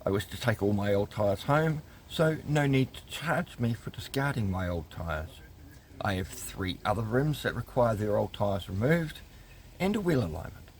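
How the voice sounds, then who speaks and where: A man's voice: lowish voice, animated, normal speed, a little hiss or hum. A man, telling a customer complaint story in a wry, exasperated way and reading emails aloud with lots of ums. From New Zealand. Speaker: consumer rights vlogger.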